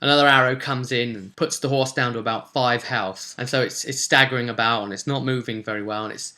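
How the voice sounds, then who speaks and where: A man's voice: mid-range voice, fairly even intonation, brisk, sharp tone. A man, casually recounting a tabletop roleplay session as game master in a rambling, colloquial way.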